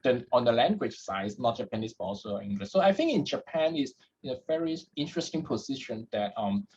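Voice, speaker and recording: Hong Kong-raised male guest, husky and low, halting with repeated words, muffled lo-fi sound. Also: expressive, darkish tone. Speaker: guest, head of data at a startup. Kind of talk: podcast interview answers.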